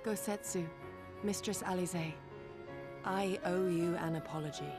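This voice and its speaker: Low-voiced, formal warrior woman. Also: steady tone, over music. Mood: solemn remorse. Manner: formal, archaic, measured speech. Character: dutiful, courteous female warrior retainer, humbly formal.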